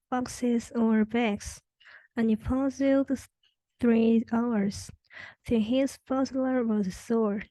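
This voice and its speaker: A woman's voice: a little high, mellow, no echo, rough recording. A young adult woman reading a children's rhyming story aloud as the narrator. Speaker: storybook reader.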